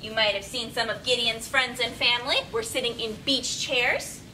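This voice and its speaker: A woman's voice: fairly even intonation, normal speed. A young woman teaching yoga to small children, giving simple, encouraging step-by-step directions as she demonstrates, recorded on a camera across a room. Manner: demonstrating poses while talking. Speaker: children's yoga storytime instructor. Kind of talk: instructional talk for young children. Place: small indoor room with padded steps. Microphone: camera several metres away. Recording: distant camera mic, rumbly background noise.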